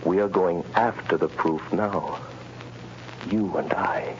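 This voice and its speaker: An actor playing a mysterious invisible crime-fighter in a 1930s radio drama, measured and commanding, on an old muffled recording. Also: male voice, low-pitched, noisy background. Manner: slow, measured, deliberate, commanding.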